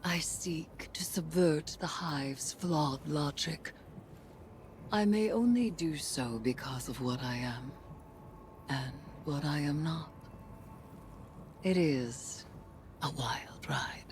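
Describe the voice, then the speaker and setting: A brooding occult warrior from a video game. The voice is very low and measured, with a breathy, solemn intensity. Each phrase falls like a dark prophecy, over faint background noise. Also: female voice. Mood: haunted, intense. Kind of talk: dramatic video game acting.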